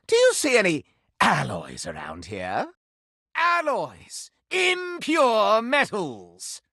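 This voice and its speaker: An actor playing a haughty, scandal-loving old silver candelabra in a video game, speaking with florid, pompous melodrama. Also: male voice, high voice, pushed and bright, no room sound, clean studio sound, full character voice. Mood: proud, indignant. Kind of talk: theatrical video game character acting.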